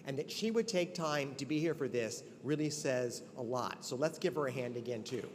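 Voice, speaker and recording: A man's voice: medium pitch, lively intonation, moderate pace, heavy background noise. An older man giving an earnest, conversational ceremonial address, with many restarts. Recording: long hall reverb, faint ambience.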